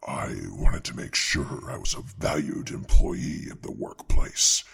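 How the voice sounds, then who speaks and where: A man playing a huge ancient dragon in an audio drama, with a gravelly, breathy growl and slow, deliberate, formal speech. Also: a bass voice, wildly swooping pitch, sharp tone, full character voice. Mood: proud, musing. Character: huge ancient red dragon chef, proud and unhurried.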